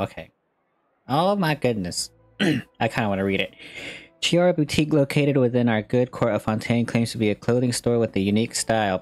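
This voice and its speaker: Low-pitched man in a let's-play. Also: no echo. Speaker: gaming video creator. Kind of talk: casual let's-play reactions and reading aloud.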